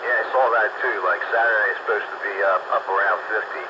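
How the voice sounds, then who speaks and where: A man calls in to a radio show and chats in a homely, halting way, often restarting and repeating words. His voice is mid-pitched. A loud, constant hiss runs under everything he says. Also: fairly even intonation. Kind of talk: casual radio chat.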